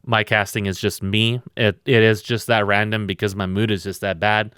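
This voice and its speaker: A tired man with a loud voice, co-hosting a podcast in a blunt, rambling, swearing chat. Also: fairly low pitch, flat delivery, normal speed, smooth, no echo, studio quality. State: very tired from lack of sleep. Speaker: podcast co-host.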